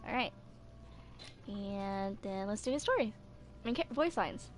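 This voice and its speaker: A woman in her twenties with a medium-pitched voice reads game text aloud. Her delivery is casual, like a hobby gaming video. There is faint noise in the recording. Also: expressive, measured pace. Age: 20s.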